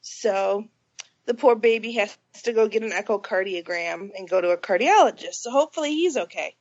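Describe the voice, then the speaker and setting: A woman's voice: medium pitch, expressive, brisk, a little mellow, muffled recording. A woman co-hosting a podcast in casual chat, her nose stuffy from a cold, talking in a chatty, self-deprecating way with laughs. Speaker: podcast co-host.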